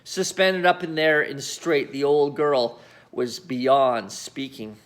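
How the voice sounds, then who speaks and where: A man reading a children's story aloud as storyteller, with dramatic, emphatic phrasing and deliberate pauses. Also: mid-range voice, fairly even intonation, fairly bright tone. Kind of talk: animated children's story narration.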